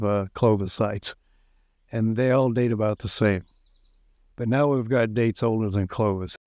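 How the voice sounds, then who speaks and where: An older man with an American accent speaks haltingly in a documentary interview, pausing mid-sentence as an archaeologist explaining fieldwork. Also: medium pitch, darkish tone, dry recording, studio quality. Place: outdoors at a dig site. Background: music.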